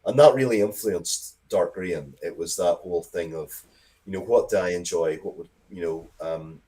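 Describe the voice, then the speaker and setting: A man's voice: low voice, normal speed, poor audio. A middle-aged man talking casually as an interview guest, rambling and swearing freely as he tells stories with enthusiasm. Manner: sweary, rambling, animated storytelling. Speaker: interview guest, game and comics creator.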